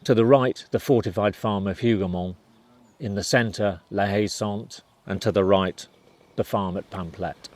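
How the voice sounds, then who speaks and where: A man's voice: fairly low pitch, fairly even intonation, moderate pace, darkish tone. A man reading scripted narration for a historical documentary.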